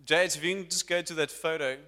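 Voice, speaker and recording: Bright-voiced pastor in a reverberant hall. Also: male voice, on the high side, fairly quick. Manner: lively, talks to the congregation. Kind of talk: sermon. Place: church hall. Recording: long church hall reverb.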